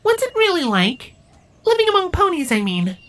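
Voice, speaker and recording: A man's voice: very high voice, huge pitch swings, moderate pace, a bit bright, pitched above the performer's own voice, exaggerated voice. A man voicing a curious young female changeling on patrol, inquisitive, in a fantasy story reading.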